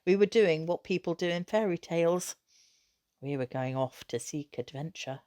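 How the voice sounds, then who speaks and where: A woman with a slightly low voice narrates a children's story. She reads expressively, in measured phrases with a storyteller's emphasis. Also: warm tone, smooth. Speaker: teacher reading aloud.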